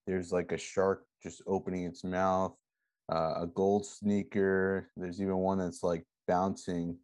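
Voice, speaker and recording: A man co-hosts a casual podcast in a deep, dark voice with little melodic movement. The recording is dry and close. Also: little pitch movement, measured pace, no room sound. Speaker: podcast co-host. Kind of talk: casual chat.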